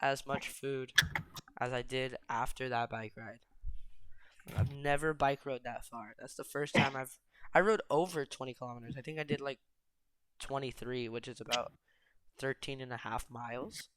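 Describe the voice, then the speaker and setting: A man's voice: medium pitch, steady tone, moderate pace, background noise. A teenage boy whose first language is Greek, chatting casually and telling a rambling story about his summer. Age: teens. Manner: rambling, self-correcting storyteller. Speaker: podcast co-host. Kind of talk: casual chat.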